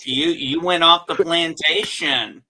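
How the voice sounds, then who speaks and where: A man chatting casually as a podcast co-host, rambling slowly through stories with many restarts. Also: on the high side, bright, forceful tone, low-quality recording. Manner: slow, rambling storyteller with restarts. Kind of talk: casual chat.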